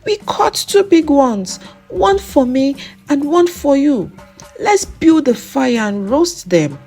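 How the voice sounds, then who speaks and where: A hungry, mischievous village boy from a storybook folktale, played by a woman narrator. His voice sits high above hers and comes out a bit louder and whiny, with slow, drawn-out words. Faint music plays behind him. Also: sing-song, full character voice. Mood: thrilled.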